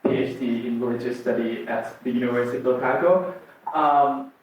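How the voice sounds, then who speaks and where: A man's voice: mid-range voice, fairly even intonation, a little fast, slight background noise. A young man from Thailand, a native Thai speaker with a Thai accent, giving an academic presentation in a hesitant way, with many ums and restarts. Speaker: doctoral student presenter. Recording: roomy echo from far off.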